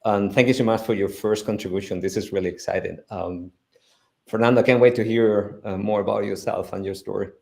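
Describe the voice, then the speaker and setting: A man's voice: lowish voice, steady tone, a little fast, creaky, a little mellow. A middle-aged man from Spain with a Spanish accent, speaking earnestly on a live stream panel, with many restarts. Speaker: foundation founder, panel guest.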